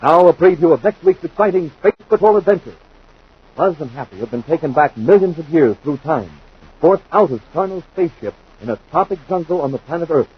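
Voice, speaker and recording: A man's voice: a little high, lively intonation, a little fast, husky. An American man announcing from a script in 1950s radio style. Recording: dull 1950s radio recording.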